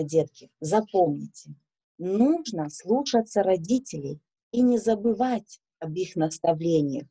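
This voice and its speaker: A woman in her thirties telling a story to children in a deliberate, expressive, instructive way. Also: medium pitch, husky. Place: small indoor room with bookshelves.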